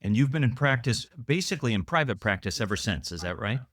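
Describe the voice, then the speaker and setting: Male podcast host with lively intonation. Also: medium pitch, fast talker, no room sound, clean studio sound. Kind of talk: scripted introduction and conversational interviewing.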